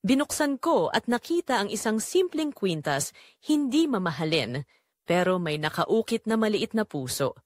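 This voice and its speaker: Expressive woman narrating a drama. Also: mid-range voice, moderate pace, no echo, clean studio sound. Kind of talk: first-person dramatic story narration.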